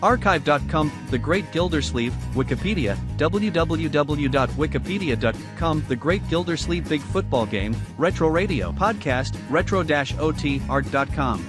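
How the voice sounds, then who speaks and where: A man with a fairly high voice reads scripted credits as an announcer. Music plays clearly behind him. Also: lively intonation, normal speed, hardly any pauses. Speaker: announcer reading closing credits. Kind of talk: scripted narration.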